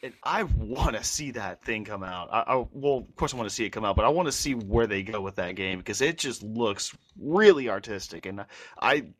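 A man's voice: fairly low pitch, lively intonation, normal speed. A man talking casually and informally as one of the hosts of a podcast. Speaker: podcast host. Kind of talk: casual chat.

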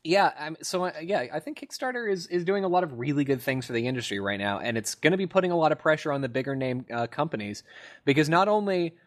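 A man's voice: on the high side, expressive, fast talker. A man co-hosting a casual gaming podcast, talking conversationally and acting out imagined quotes as he goes. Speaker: podcast co-host. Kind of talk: casual video game podcast discussion.

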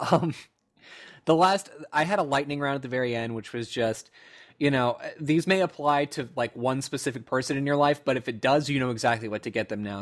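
A young man in his twenties co-hosting a casual gaming podcast, rambling through stories with lots of fillers and laughter. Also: mid-range voice. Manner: rambling storyteller, laughs often, many fillers. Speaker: podcast co-host.

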